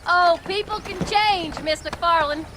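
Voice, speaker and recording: An actress playing a sharp-tongued but loving frontier rancher's wife in a game, with a rural Western American accent and a wry, folksy manner. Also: female voice, extremely high pitch, slow, background noise. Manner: wry, folksy, plain-spoken. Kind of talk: dramatic game cutscene acting.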